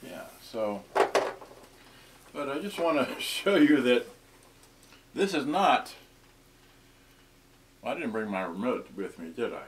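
Man in his sixties, very slow halting delivery, casual unboxing chat, muttering to himself, noisy camera-mic recording with clicks. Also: mid-range voice, big pitch swings. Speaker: video host, boombox collector. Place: cluttered indoor storage room.

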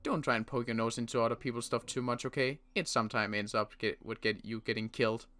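A man playing a wary adult survivor in an improvised game roleplay, talking in a wry, halting way with frequent restarts. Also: mid-range voice, fairly even intonation, a bit bright, faint music behind. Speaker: roleplay player.

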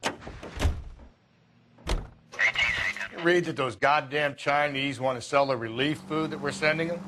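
A man playing a short-tempered, middle-aged American police detective in a video game, gruff, blunt and slangy. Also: on the high side, a little fast, background noise, close to an everyday voice. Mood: grumbling. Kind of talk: game cutscene acting.

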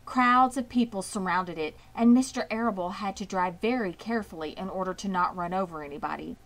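A woman's voice: medium pitch, brisk, a bit bright, rough recording. A woman narrating a children's book as a scripted read-aloud. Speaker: storybook reader.

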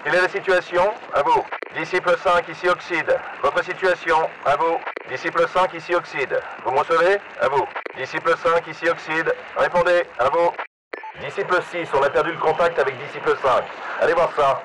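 Stern, high-pitched military radio controller. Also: male voice, steady tone, moderate pace. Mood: tense, commanding. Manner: clipped, authoritative military delivery. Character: commanding male mercenary operations controller, brisk and stern. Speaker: radio dispatcher. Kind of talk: scripted military radio chatter. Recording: noisy game radio chatter.

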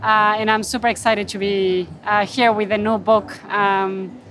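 A woman speaking earnestly in an unscripted interview, pausing to find her words, on a handheld microphone. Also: medium pitch, little pitch movement, fairly bright tone, a little hiss or hum. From Argentina. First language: Spanish. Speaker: wildlife photographer interviewed at her exhibit. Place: gallery booth at an indoor art fair.

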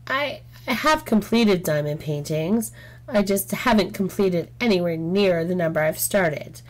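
A woman's voice: fairly low pitch, animated, a little slow. A woman chatting casually while she works on a craft, frank and rambling with lots of "um"s, her throat getting sore. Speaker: craft channel host. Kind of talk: casual chat while diamond painting. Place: home craft table, indoors.

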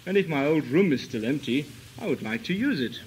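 An actor playing a brooding long-lost cousin in a comic radio melodrama, speaking in a grandiloquent, theatrical way. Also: male voice, fairly high pitch, lively intonation, a little fast, a little mellow, a little hiss or hum, natural voice, low-quality recording. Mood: weary, pompous.

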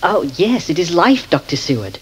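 A wheedling, excitable male asylum inmate for a radio play, creepy, voice swooping high and low. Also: moderate pace, breathy edge, full character voice, rough recording. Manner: wheedling, creepy, lurching between whispers and outbursts. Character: excitable male asylum patient obsessed with insects. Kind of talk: 1970s radio drama.

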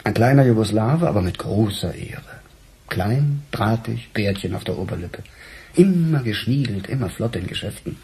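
An actor playing a weary, middle-aged police chief in a radio crime drama, musing in a husky, sardonic, halting way. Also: male voice, lowish voice, animated, a little mellow, a little hiss or hum. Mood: brooding, agitated. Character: weary, embattled male police chief, brooding and gruff. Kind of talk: naturalistic German radio crime drama acting.

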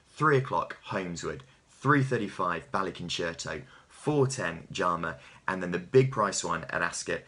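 A man's voice: deep voice, a bit bright. A man in his thirties giving horse racing tips to camera with an emphatic, punchy delivery. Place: small home office, indoors.

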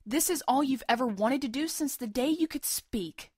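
An actress in an audio drama playing a defiant, sarcastic, fiercely protective young medical student, blunt and emotionally intense. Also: female voice, high voice, normal speed, husky, no room sound, clean studio sound. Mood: earnest, self-mocking. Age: young adult.

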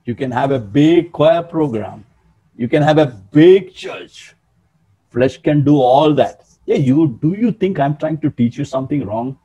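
A man's voice: on the high side, unhurried, slightly rough, lo-fi sound. Emphatic older man preaching a sermon. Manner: emphatic, animated, gesturing, calling out to listeners. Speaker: pastor. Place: small room indoors.

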